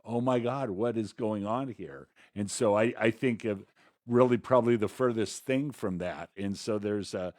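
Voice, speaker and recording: A man with a somewhat low voice offers casual commentary as a podcast co-host. His delivery is informal and a bit halting, and the sound is dry with very little room in it. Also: darkish tone, dry recording.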